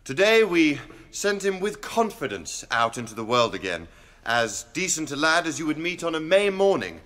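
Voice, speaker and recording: An actor playing a pompous, self-satisfied government official giving a theatrical speech. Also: male voice, on the high side, very animated, moderate pace, a bit bright. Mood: grandiose, scornful. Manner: oratorical, pompous. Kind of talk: theatrical public address.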